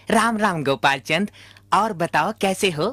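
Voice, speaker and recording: A man's voice: high voice, animated, slow, loud music behind the voice. A man playing a deferential, ingratiating trader in a Hindi cartoon. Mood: chummy, ingratiating. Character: smooth-talking, deferential male trader protesting his innocence.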